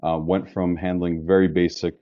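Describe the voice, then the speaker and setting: Deep-voiced man. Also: moderate pace, dry recording, muffled recording. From New York metropolitan area, US. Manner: relaxed and talkative, frequent restarts. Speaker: guest, insurance business founder. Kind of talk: casual interview conversation.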